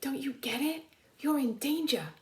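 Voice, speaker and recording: A woman's voice: high-pitched, expressive, normal speed, pitched above the performer's own voice. A woman voicing a plucky, alert male bull terrier in a children's storybook. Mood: urgent. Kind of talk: children's storybook read-aloud.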